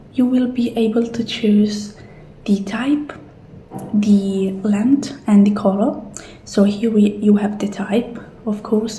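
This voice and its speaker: A woman doing a soft, partly whispered ASMR roleplay as a gentle attendant walking a client through options. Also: medium pitch. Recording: roomy echo, faint background noise.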